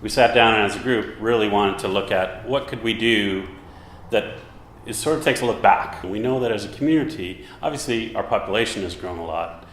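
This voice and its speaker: A man's voice: fairly low pitch, fairly quick, a little hiss or hum. A man who organized an exhibit, explaining its idea in an interview. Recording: echoey with faint ambience.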